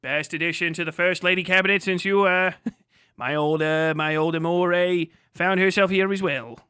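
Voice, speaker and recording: A man's voice: high-pitched, fairly even intonation, normal speed, bright, forceful tone, clean tone, no room sound, clean studio sound. A man livestreaming in a casual, comic style, riffing animatedly with plenty of slang and swearing. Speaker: live streamer.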